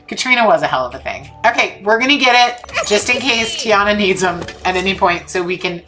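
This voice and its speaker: A woman in her thirties chatting casually while playing a video game, gushing and joking with her viewers. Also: medium pitch. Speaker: streamer. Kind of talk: casual gameplay chat. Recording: game music playing behind.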